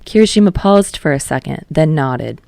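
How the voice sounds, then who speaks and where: A woman narrates a story in a low voice. It is an audiobook read, recorded close and dry with no room sound. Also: lively intonation, moderate pace, creaky, a little mellow, no room sound. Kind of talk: scripted fiction narration.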